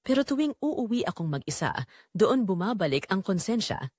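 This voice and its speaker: Woman playing confessional mistress Clarita, lively-pitched and dry. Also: normal speed, breathy edge, no room sound. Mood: wistful, guilty. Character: Clarita, remorseful secretary and mistress confessing forbidden love. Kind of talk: dramatic first-person audio drama narration.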